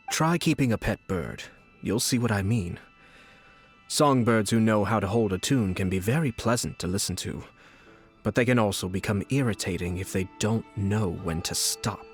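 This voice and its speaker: A composed young nobleman from a game, speaks low and unhurried. His courtesy stays smooth even as he veils a threat. He sounds like someone used to holding power. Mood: coolly menacing. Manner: smooth, measured, politely threatening. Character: poised young male clan commissioner, coolly menacing. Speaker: game character. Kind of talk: game voice acting.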